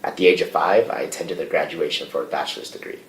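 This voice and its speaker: A young man from the United States giving a prepared, formal speech as a student leader, in a measured, earnest manner. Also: sits low. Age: young adult. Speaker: student association president. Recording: distant, echoey remote audio.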